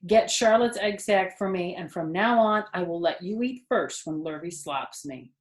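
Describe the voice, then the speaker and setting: A woman's voice: fairly low pitch, moderate pace, natural voice. A woman voicing an earnest, anxious young male pig in a storybook, speaking with emphatic pleading. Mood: earnest, bargaining. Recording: roomy indoor echo.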